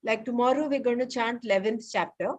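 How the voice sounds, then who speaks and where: Fairly high-voiced woman leading scripture study. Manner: explains terms patiently, reads text slowly. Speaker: study group leader. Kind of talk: casual scripture study discussion. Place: home, remote meeting.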